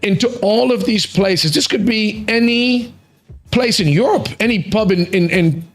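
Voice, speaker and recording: A man's voice: sits high, unhurried. A middle-aged man hosting a podcast, chatting enthusiastically and often repeating words as he restarts. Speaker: podcast host. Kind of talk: casual chat.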